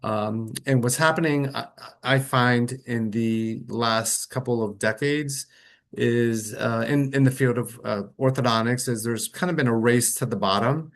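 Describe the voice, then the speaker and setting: A man's voice: medium pitch, a little slow. Middle-aged guy from colombia doing an ortho webinar, laid back, lots of ums, on a call mic. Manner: relaxed and conversational, with frequent fillers and restarts. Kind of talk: webinar lecture.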